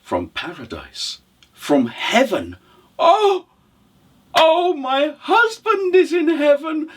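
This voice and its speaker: A man voicing an excitable, gullible middle-aged widow from a folktale, full of eager questions and exclamations. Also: extremely high pitch, slow pace, far from the mic, voice pushed up, full character voice. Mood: amazed, eager.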